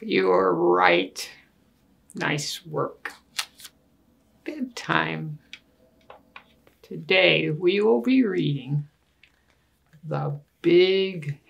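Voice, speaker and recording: An older woman reading a picture book aloud to children in a deliberate manner, putting questions to her listeners. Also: fairly low pitch, creaky. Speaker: story time reader. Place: small indoor room with books and wall quilt.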